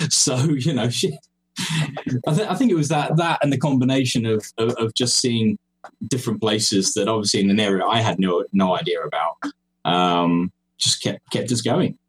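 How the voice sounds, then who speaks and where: Man, english accent, husky voice, lowish pitch, hesitant guest with fillers. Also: moderate pace, low-quality recording. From England, raised in Cyprus. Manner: hesitant, many fillers and restarts. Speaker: podcast guest, geocoin designer. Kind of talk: casual chat over a call.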